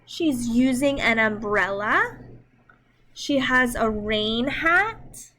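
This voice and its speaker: A woman reading a picture book aloud to young children, expressive and simple in her delivery, with dramatic pauses and emphasis. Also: on the high side. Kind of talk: children's read-aloud storytelling.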